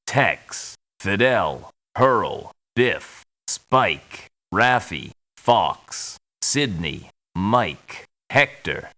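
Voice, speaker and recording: An actor playing a surly, touchy mercenary in a video game, talking in a blunt, resentful manner. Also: male voice, on the low side, big pitch swings, extremely slow, sharp tone, exaggerated voice. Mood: flat roll call. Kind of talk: video game character voice lines.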